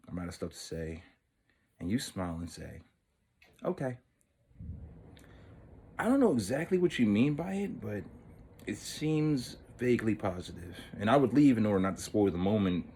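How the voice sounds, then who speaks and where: A very deep-voiced man as an earnest lovestruck boy. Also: vocal fry, dark tone, a little hiss or hum, exaggerated voice. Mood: awkward, hesitant. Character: earnest, lovestruck thirteen-year-old boy confessing wistfully. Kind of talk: dramatic monologue, intimate storytelling.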